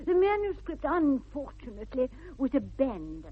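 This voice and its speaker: An actress playing a prim, fluttery middle-aged English governess with an upper-class accent, pedantic, in a 1960s radio comedy. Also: female voice, high voice, sing-song, normal speed. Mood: prim, severe. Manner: pedantic, stern, gushing. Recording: thin, muffled old radio broadcast.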